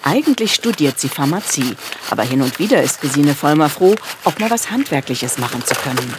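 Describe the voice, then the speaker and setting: A man reading a scripted news report voice-over in a calm, measured way. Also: fairly high pitch, noisy background.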